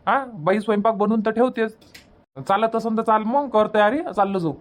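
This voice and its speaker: A man's voice: high-pitched, normal speed, natural voice. A man playing a placating, argumentative village husband in a cartoon comedy, in colloquial Varhadi Marathi, repeating himself to make his point. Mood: impatient. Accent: Varhadi Marathi from Vidarbha.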